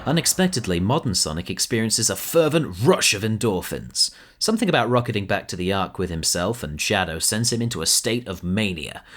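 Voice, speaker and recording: A man's voice: on the low side, expressive, brisk, hardly any pauses, a bit bright. A man reading scripted narration for a fan audio drama.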